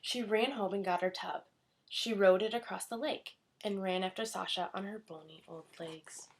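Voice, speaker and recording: A woman reading a children's storybook aloud in an expressive scripted narration style. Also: mid-range voice, normal speed, slight background noise. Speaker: storybook reader.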